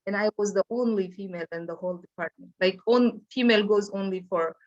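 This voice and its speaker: A woman from Afghanistan with an Afghan accent, telling her own story as a panelist in halting, simply phrased English. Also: mid-range voice. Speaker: panelist sharing personal experience. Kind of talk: personal account on a virtual panel. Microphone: video call. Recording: dull, lo-fi online call audio.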